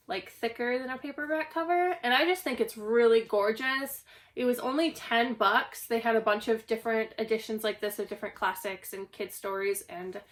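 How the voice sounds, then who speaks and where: A young woman with a slightly hoarse voice, recovering from a cough, chatting casually at home about a stack of books. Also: fairly high pitch, fairly bright tone. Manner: chatty, self-deprecating, rambling. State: hoarse, getting over a cough. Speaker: book channel host. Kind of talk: casual book haul vlog. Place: at home, indoors.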